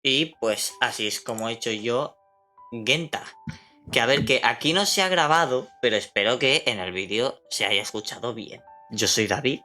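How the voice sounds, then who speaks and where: A man's voice: medium pitch, expressive, moderate pace, sharp tone, faint music behind. A man with a Castilian Spanish accent, an amateur dubber talking casually to camera. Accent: Castilian Spanish from Spain. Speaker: amateur dubber and video creator.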